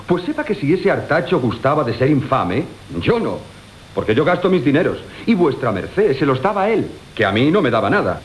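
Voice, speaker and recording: A man's voice: fairly high pitch, sing-song, a little fast. A man playing a hot-tempered, haughty, complaining lover in a classical radio comedy. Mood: irritated. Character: hot-tempered, jealous, grudgingly generous male lover. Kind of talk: Spanish classical comic radio theatre acting. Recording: roomy, hissy 1980 radio broadcast.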